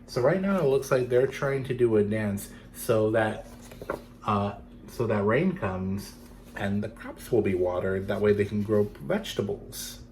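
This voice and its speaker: A man's voice: fairly low pitch, lively intonation, measured pace, a little mellow, low-quality recording. Playful male storytime reader, some background noise. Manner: playful, addresses young listeners directly. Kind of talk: children's picture-book reading with asides.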